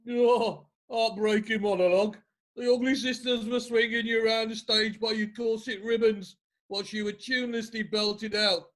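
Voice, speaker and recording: A man's voice: sits high, little pitch movement, moderate pace, a bit bright. A man playing a cheeky, sardonic pantomime actor giving evidence in a comic courtroom play. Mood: mocking. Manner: mocking, cheeky, hesitant when addressing the court.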